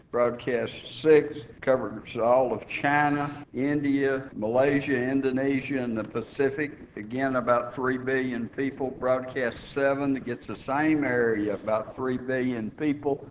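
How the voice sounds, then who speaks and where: A man's voice: medium pitch, a little hiss or hum, poor audio. A man, preaching and giving announcements to his congregation, earnest and rising to emphatic preaching. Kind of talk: sermon and announcements on a radio broadcast. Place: church sanctuary.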